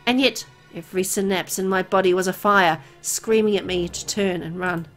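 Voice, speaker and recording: A woman's voice: lowish voice, fairly even intonation, normal speed, soft background music. A woman reading a horror visual novel aloud as dramatic story narration.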